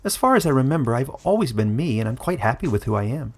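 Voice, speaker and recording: A man voicing a cheerful small furry male forest creature, gentle and wise, who chatters in a lively way. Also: medium pitch, quick pace, husky. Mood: content.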